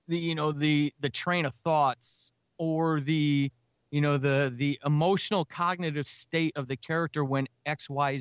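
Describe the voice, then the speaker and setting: A man talking as an interview guest, reflecting earnestly and self-critically on his craft in halting, explanatory phrases. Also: a little high, clean tone, no room sound, highs cut off. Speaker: guest writer and podcaster.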